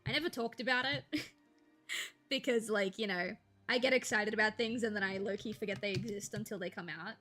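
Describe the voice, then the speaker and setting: A young woman, chatting casually and rambling to viewers on a live stream, with a slight gravel in her voice when she talks quietly. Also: medium pitch, moderate pace, soft background music. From Australia. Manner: chatty, rambling, laughs easily, thinks aloud.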